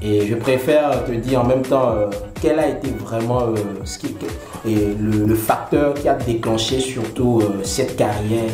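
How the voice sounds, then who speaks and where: A man from Benin, hosts a casual TV interview. He rambles, stacking fillers and repeated words. His voice echoes in a roomy studio over clearly audible music. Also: mid-range voice, measured pace. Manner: rambling, many fillers and stutters. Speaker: TV host.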